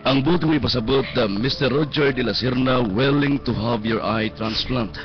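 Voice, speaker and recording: A man's voice: mid-range voice, moderate pace, close to an everyday voice. A man with a Cebuano accent playing a grave, formal eye doctor in a radio drama, explaining with slightly hesitant phrasing. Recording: dull lo-fi radio stream, music behind.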